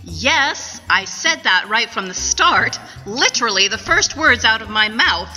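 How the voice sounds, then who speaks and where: An actress playing an imperious, literal-minded widow in a comic radio play. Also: female voice, fairly high pitch, sing-song, unhurried, bright, forceful tone, full character voice. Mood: indignant. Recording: echoey event hall, music behind.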